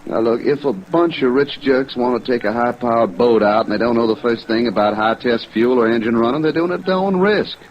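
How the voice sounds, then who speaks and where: An actor playing a gruff, sarcastic Coast Guard lieutenant in a 1950s radio drama, blunt and wry. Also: male voice, mid-range voice, fairly quick, few pauses, natural voice. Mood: irritated, sarcastic. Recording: muffled old radio recording, rain noise.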